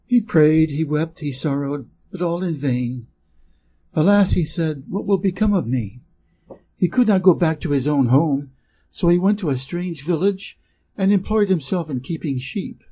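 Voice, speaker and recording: A man with an American accent reads a fairy tale in a measured, clear way for English learners. His voice sits a little high for a man but sounds very mellow and dark in tone. There is a soft huskiness to it. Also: lively intonation. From Wisconsin, USA. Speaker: reader. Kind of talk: storybook reading.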